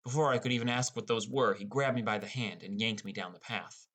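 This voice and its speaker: A man's voice: fairly low pitch, a little fast, fairly bright tone. A man playing a wry, inexperienced teenage boy who narrates his own game adventure in an audiobook. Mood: narrating. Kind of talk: first-person audiobook narration with character dialogue.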